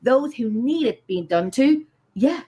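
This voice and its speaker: An Irish woman co-hosts a casual talk show. Her voice sits fairly high, and she speaks in an informal, conversational way. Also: animated, unhurried, warm tone, breathy edge. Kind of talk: casual chat.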